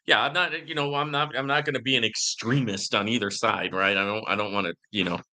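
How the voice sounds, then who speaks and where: A man hosting a live Q&A in a casual, conversational and emphatic way, reading comments and often checking in with a quick "right?". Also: fairly low pitch, steady tone, bright, forceful tone. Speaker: livestream host answering viewer questions.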